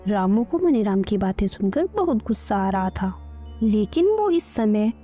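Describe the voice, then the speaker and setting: A woman's voice: medium pitch, very animated, unhurried, warm tone, soft background music. A woman narrating a scripted bedtime story.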